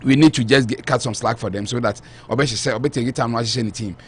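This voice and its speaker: Male pundit, husky and emphatic, critical analysis. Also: mid-range voice, quick pace. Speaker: sports analyst guest. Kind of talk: sports analysis on radio.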